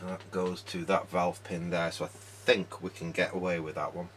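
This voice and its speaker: A man's voice: deep voice, little pitch movement, normal speed, fairly bright tone. A man giving casual, hands-on repair commentary, muttering informally step by step as he works. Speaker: hobbyist electronics repairer and presenter.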